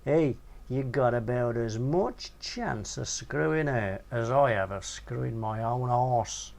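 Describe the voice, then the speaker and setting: A man's voice: medium pitch, slow, gravelly, a little mellow. A man voicing Nobby, a scruffy, crude young male mate who talks coarse and blunt. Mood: mocking.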